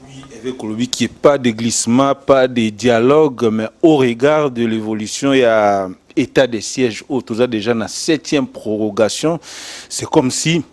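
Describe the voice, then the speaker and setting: A man's voice: medium pitch, moderate pace. A man with a Congolese accent interviewing a guest about politics in a conversational way.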